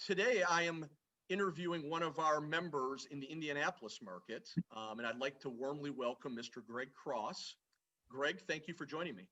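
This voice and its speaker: A man hosting a formal interview, measured and polished as he introduces and questions a guest. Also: fairly high pitch, sharp tone, low-quality recording.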